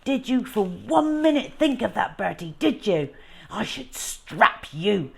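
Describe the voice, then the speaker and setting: Storybook dad, female reader: stern, angry, sharp and forceful in scolding, measured pace. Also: mid-range voice, sing-song, gravelly, husky, pitched above the performer's own voice, full character voice. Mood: furious. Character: stern, furious father scolding his young son. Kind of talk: children's storybook character voice.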